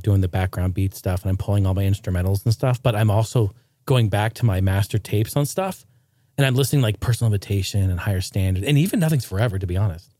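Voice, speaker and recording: Excitable laughing man, dry sound. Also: lowish voice, no echo. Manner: excitable, laughs often, says dude a lot. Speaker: musician hosting a chat. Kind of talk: casual chat.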